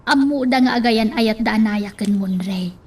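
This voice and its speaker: Woman acting a high-voiced, concerned village auntie. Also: unhurried, darkish tone, husky. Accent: Ilocano. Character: concerned, persuasive older village woman, Nana Panyang. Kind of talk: Ilocano radio drama acting.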